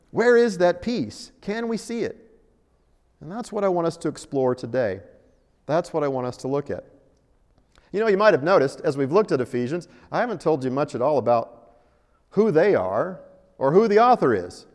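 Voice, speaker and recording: A man's voice: fairly high pitch, darkish tone. A man preaching in a conversational, wryly humorous way, telling stories and posing rhetorical questions in a large hall. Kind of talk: sermon, conversational and storytelling. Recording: echoey hall with long reverb.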